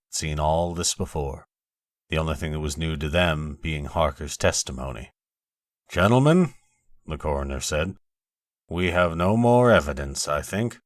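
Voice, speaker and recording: A man with a low voice narrates classic horror fiction. His delivery is solemn, measured and dramatic, captured in a close, dry recording. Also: no echo. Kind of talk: scripted literary horror narration.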